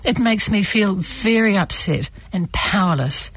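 A woman broadcaster reading a first-person account aloud in a measured, earnest way. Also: medium pitch, animated, breathy edge, dry recording.

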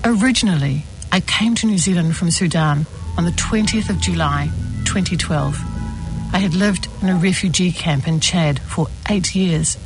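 A woman reading a personal first-person account as a calm, earnest scripted narration. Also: fairly low pitch, normal speed, low-quality recording. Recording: voice-over with music behind.

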